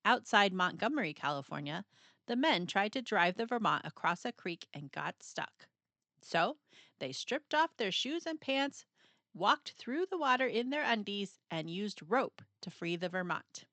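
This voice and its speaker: Animated woman reading a storybook aloud. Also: medium pitch, no room sound. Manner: animated, dramatic pauses and emphasis, playful sound words. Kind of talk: expressive scripted picture-book narration.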